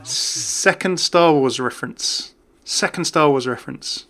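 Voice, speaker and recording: A man's voice: on the high side, measured pace, a bit bright. A man giving casual, jokey commentary as a gaming video host. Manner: chatty, jokey.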